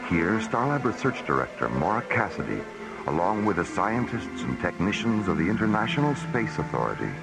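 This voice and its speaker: A man narrating a science-fiction radio drama as a solemn announcer, in a portentous, measured delivery. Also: low voice, big pitch swings, gravelly, heavily acted, low-quality recording. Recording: radio drama with music underneath.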